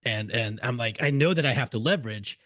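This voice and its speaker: A middle-aged man who grew up in Hungary talks loosely as a podcast host and restarts his sentences often. His voice sits at an ordinary pitch for a man. The sound is close and dry, with little room around it. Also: a little fast, fairly bright tone, dry recording. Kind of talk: casual interview chat.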